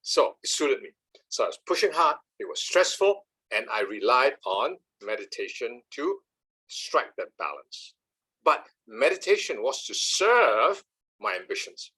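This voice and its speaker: A man, giving an animated, emphatic talk on meditation and often checking in with "all right?". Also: mid-range voice, unhurried, slightly rough, poor audio. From Malaysia. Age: 70s. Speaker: speaker on meditation. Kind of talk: talk with slides.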